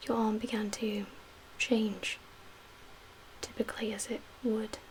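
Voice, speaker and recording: A woman speaking in a hushed, breathy whisper with measured pauses, performing an ASMR roleplay as a calm, guarded survivor-camp medical official. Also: fairly high pitch, poor audio. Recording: audible background hiss and ambience.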